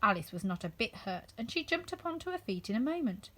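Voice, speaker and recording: A woman reading a classic children's story aloud in an expressive narrating style. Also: on the high side, fast talker. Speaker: storybook reader.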